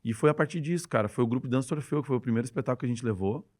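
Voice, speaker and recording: Fast-talking gaúcho man, enthusiastic. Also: medium pitch, darkish tone. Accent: Gaúcho Brazilian Portuguese. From Rio Grande do Sul, Brazil. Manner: enthusiastic, rapid storytelling. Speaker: podcast guest, dance teacher. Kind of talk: casual interview chat.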